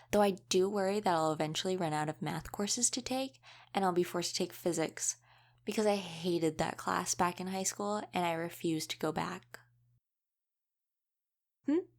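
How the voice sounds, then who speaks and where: A woman's voice: fairly low pitch, darkish tone, lightly acted. A young woman playing a friendly, chatty werewolf college student in a casual audio roleplay, rambling through explanations straight to the listener.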